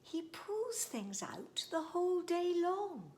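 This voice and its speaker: A woman voicing a brisk, no-nonsense mother in a storybook poem, speaking in a hushed, prim way. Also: extremely high pitch, sing-song, slight background noise, pitched above the performer's own voice, exaggerated voice.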